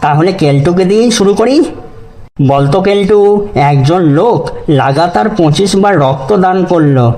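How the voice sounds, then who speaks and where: A man voicing an excitable, threatening village schoolteacher in a comedy cartoon, blustering and exclaiming as he talks. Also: sits high, moderate pace. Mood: approving, quizzing. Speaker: schoolteacher character.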